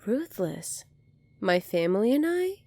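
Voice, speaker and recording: A woman playing a poised, kindly young alien princess in an ASMR roleplay, polite, formal and measured, soft-spoken with breathy moments. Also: medium pitch, big pitch swings, no room sound. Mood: gentle, reassuring.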